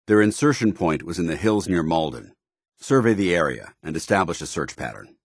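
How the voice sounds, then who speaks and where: Casting: gruff, honorable military commander for a game, rasping voice, formal and earnest, very dry close recording. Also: male voice, deep voice, animated, a little fast, no echo, exaggerated voice. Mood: grim, commanding. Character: stern, duty-bound military paladin, gruff and honorable adult man. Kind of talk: video game companion dialogue.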